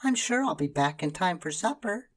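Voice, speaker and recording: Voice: moderate pace, breathy edge. A reader doing an earnest little girl. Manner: high, excited, insistent.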